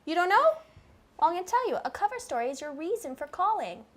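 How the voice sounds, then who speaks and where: A woman's voice: very high-pitched, big pitch swings, fast talker. A woman working as a therapist, coaching in simple steps and explaining, encouraging and often checking understanding with questions. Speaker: behaviour therapist teaching a child.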